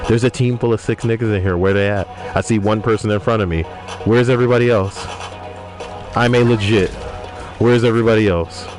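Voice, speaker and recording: Low-voiced man streaming over music. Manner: profane, joking, reads viewer messages aloud. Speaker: streamer reading chat. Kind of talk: casual gaming livestream chat. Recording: music playing behind voice.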